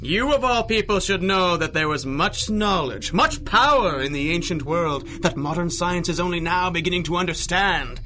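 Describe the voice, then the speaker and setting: An actor playing a malevolent, pompous sorcerer villain with theatrical menace in a pulp radio drama. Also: male voice, high voice, lively intonation, moderate pace, few pauses, pushed and bright, slight background noise. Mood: smug menace. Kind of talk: radio drama acting in 1930s pulp style.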